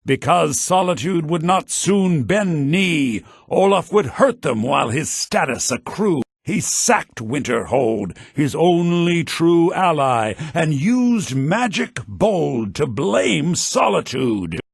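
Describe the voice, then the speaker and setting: An actor playing a scholarly, theatrical headmaster of a bards' college in a fantasy video game, speaking in a formal, declamatory manner. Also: male voice, fairly high pitch, big pitch swings, slow pace, gravelly, hardly any pauses, husky, no echo, clean studio sound, heavily acted. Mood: grand recitation. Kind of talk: fantasy video game character dialogue, with verse recitation.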